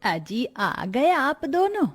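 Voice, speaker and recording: A woman voicing a worried, respectful woman of a poor family in a cartoon moral story, with a husky voice. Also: sits high, big pitch swings, slow pace, faint music behind, exaggerated voice. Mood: dutiful.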